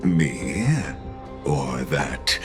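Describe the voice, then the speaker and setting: Game villain-style courtier: bottomless bass, unhurried, deliberate and scheming, strong music behind. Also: male voice, full character voice. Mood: sly, insinuating. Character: sly, courtly male counsellor to an empress. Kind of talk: theatrical video game acting. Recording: loud music under the voice.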